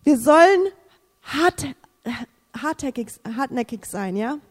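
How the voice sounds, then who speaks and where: A woman's voice: high-pitched, expressive, takes it slowly, in a large hall. A woman, not a native German speaker, giving an emotional faith testimony, fervent and repetitive, sometimes searching for words. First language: English.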